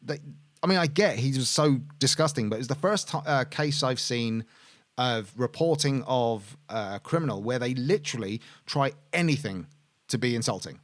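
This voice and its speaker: A man's voice: mid-range voice, lively intonation, moderate pace, no echo. Man, rambling and self-correcting. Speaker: podcast co-host. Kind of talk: casual chat.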